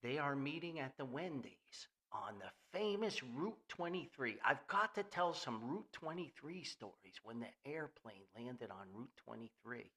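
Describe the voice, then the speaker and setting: A man's voice: medium pitch, lively intonation, unhurried, few pauses, bright, forceful tone. An older man hosting an informal podcast in a folksy, jokey, rambling way with theatrical emphasis. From New Jersey, United States. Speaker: podcast host. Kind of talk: informal solo podcast monologue with sponsor reads.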